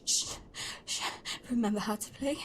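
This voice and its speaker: Woman as a hushed, wary young girl narrator. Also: fairly high pitch, moderate pace, slight background noise, natural voice. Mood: hushed, protective. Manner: hushed, breathy, often near whispering. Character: wary, protective young girl narrating her life at sea. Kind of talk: first-person scripted audio drama narration.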